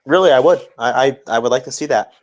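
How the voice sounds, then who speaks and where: A man's voice: mid-range voice, fast, a little mellow, slight background noise. A man co-hosting a podcast, casually discussing wrestling in informal, slangy language with some swearing. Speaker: podcast co-host.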